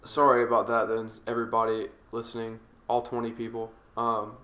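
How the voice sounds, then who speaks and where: A man's voice: fairly low pitch, brisk. A young man co-hosting a podcast, teasing and joking in casual chat. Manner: playful, teasing, animated. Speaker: podcast co-host. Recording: roomy echo, faint music.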